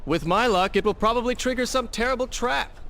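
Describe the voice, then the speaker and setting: An actor voicing a weary, sardonic young prince in a video game, talking in a dry, sarcastic way. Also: male voice, extremely high pitch, a little fast, a bit bright, a little hiss or hum. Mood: wry. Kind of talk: game cutscene acting. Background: game music.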